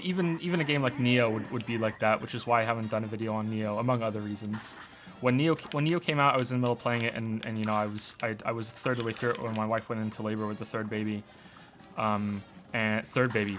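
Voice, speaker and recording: A man's voice: lowish voice, a bit bright. A man in his thirties, born in Wales, whose accent is mostly Canadian with some Welsh traces, chatting casually and rambling while he plays on a stream. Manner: rambling, stammering restarts, answers chat questions while playing. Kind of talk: casual gaming stream chat.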